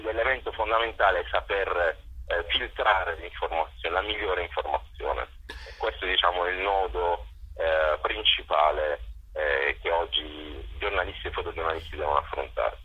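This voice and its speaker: A man's voice: sits low, normal speed, husky, lo-fi sound. A man organizing cultural events, answers interview questions, hesitant and full of fillers.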